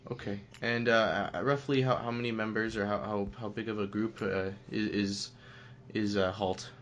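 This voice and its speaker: A man's voice: fairly low pitch, little pitch movement, moderate pace, fairly bright tone, a little hiss or hum. A man hosting an online interview, asking meandering questions full of fillers. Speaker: host, interviewer.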